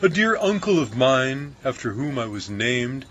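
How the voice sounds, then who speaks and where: A man's voice: mid-range voice, sing-song, a little slow, a bit bright, voice pushed up. A man voicing a tearful, timid baker in a storybook poem, pleading in a raised, quavering voice. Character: tearful timid male baker, anxious and rambling.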